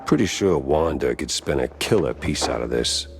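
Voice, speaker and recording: A man's voice: rumbling low, expressive, moderate pace, creaky, noisy background, heavily acted. A man playing a world-weary, wisecracking mouse private detective in a noir video game, with wry, slangy tough-guy delivery. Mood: brooding. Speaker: detective protagonist and narrator. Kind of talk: 1930s noir detective narration.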